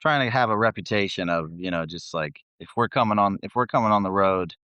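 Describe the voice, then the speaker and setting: A man's voice: on the low side, brisk, sharp tone, smooth, no room sound. A man who sings in a band, chatting as a guest and telling tour stories in a laid-back, slangy, sweary way. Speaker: band vocalist, interview guest.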